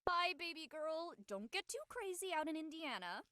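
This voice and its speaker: A woman's voice: very high-pitched, big pitch swings, normal speed, bright, forceful tone, no room sound, clean studio sound, heavily acted. A woman playing a bubbly, affectionate, feisty young friend in casual scripted dialogue.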